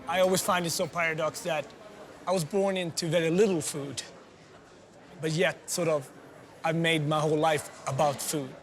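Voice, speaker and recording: A man, a chef, talking reflectively in a relaxed interview with loose phrasing. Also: a little high, moderate pace. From Sweden. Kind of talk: casual interview talk.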